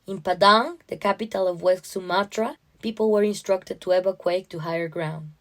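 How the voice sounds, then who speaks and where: A woman narrating a first-person story in a measured, expressive way. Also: on the low side. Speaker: short story reader.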